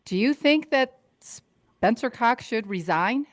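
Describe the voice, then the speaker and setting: A woman's voice: on the high side, animated, slow pace, dry recording. A woman asking follow-up questions in a conversational interview style. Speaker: panel questioner.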